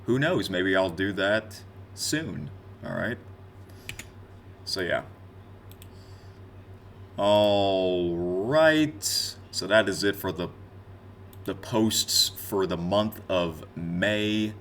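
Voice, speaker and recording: A man's voice: deep voice, animated, very slow, pauses often, fairly bright tone, a little hiss or hum. A man in his twenties talking casually and off the cuff to his viewers, in a rambling, conversational year-in-review vlog style. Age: 20s. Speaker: gaming content creator and streamer.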